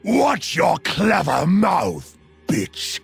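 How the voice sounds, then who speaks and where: Furious orc warlord, slow gravelly delivery, contemptuous, menacing, video game drama, music behind. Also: male voice, sits high, huge pitch swings, exaggerated voice. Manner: menacing, declamatory. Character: stern, angry male orc warchief, contemptuous and threatening.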